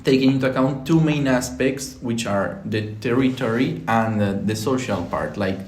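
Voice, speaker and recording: A young man from Colombia with a Colombian Spanish accent, hosting a casual student podcast and often pausing to search for words. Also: medium pitch. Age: young adult. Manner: relaxed, searching for words, hesitant with fillers. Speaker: podcast host, architecture student. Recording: echoey room.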